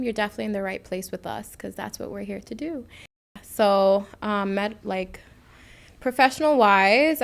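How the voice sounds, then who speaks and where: A woman in her thirties with a medium-pitched voice chats as a podcast co-host. She tells personal stories candidly, in loose, slangy, informal phrasing. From United States. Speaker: podcast co-host, nurse practitioner. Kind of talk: casual chat, personal storytelling.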